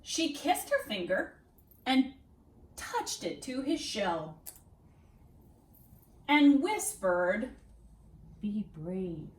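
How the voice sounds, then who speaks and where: A woman reading a children's storybook aloud as a read-aloud host, expressive and deliberate, with dramatic pauses and emphasis. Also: on the high side. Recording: roomy echo, distant microphone.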